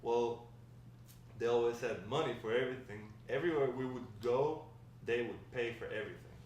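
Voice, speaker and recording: A man playing a nostalgic, talkative Mexican-American grandfather in a table read, warm and encouraging, rambling with hesitant pauses. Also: mid-range voice, natural voice. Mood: reminiscing. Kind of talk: amateur table read of a script. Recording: echoey room, distant mic, faint noise.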